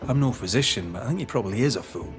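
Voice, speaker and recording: A man's voice: mid-range voice, expressive, very fast, faint music behind, close to an everyday voice. A man voicing a blunt, seasoned ghost hunter with a Scottish accent and a dry, probing manner in a video game. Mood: wry, blunt. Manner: dry, probing, plain-spoken.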